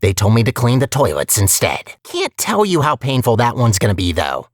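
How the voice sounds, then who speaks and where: A woman's voice: rumbling low, wildly swooping pitch, normal speed, no room sound, clean studio sound, heavily acted. A woman voicing a scheming, sarcastic AI villain in a video game, chatty and mock-polite in a theatrical way. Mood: gleefully sinister. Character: female scheming AI villain, breezily cheerful, sarcastic and murderous. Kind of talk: video game character dialogue.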